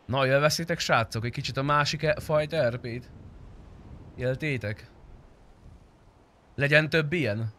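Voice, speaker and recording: A man's voice: mid-range voice, long pauses, a bit bright. A young man chatting casually while livestreaming a game, blunt and slangy with frequent swearing. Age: young adult. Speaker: game streamer. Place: indoor streaming room.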